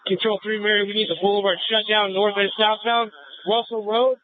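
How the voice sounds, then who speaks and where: A man's voice: high-pitched, little pitch movement, a little fast. A man speaking as a police officer in urgent radio traffic over a police radio. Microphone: two-way radio. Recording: tinny narrow-band police radio transmission.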